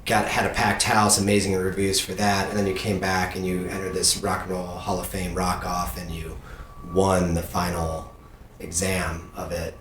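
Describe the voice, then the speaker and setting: A middle-aged man with a slightly low voice leads a casual interview. He talks earnestly and reflectively. The room is audibly echoey, with some faint noise behind him. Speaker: podcast host interviewing own teenage child. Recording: roomy echo, background noise.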